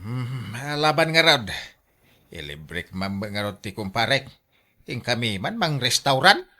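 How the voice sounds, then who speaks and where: A man's voice: mid-range voice, sing-song, unhurried, bright, forceful tone. A man with an Ilocano accent playing an easygoing, respectful adult relative in a radio drama.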